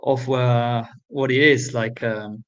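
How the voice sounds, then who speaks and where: Man, livestream caller, mid-pitched, halting, casual chat. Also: steady tone, a bit bright, lo-fi sound. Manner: halting, many ums and restarts.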